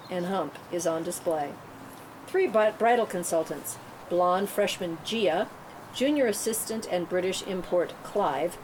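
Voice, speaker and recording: A woman's voice: mid-range voice, a little mellow. A woman reading stage directions as scripted narration at a table read. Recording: clearly noisy room recording.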